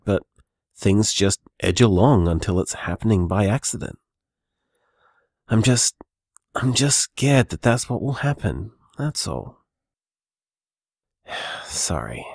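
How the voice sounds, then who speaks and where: A man's voice: on the low side, creaky, darkish tone, no room sound, clean studio sound, heavily acted. An actor playing a conscientious, anxious, loving man leaving hesitant, apologetic voicemails in an intimate audio drama. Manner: hesitant, earnest, sighing.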